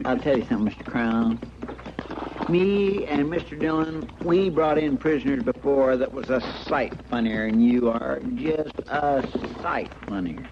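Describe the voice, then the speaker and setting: Man playing rustic western deputy, American accent, eager, deferential, medium pitch, noisy old radio drama sound. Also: a little slow, dull audio, lightly acted. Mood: needling. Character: folksy, eager, talkative deputy sidekick.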